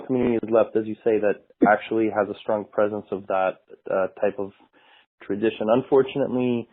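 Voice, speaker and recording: A man, a rabbi explaining things thoughtfully in a friendly chat. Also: lowish voice. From United States. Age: 50s. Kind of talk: casual conversation. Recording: phone-like tinny line.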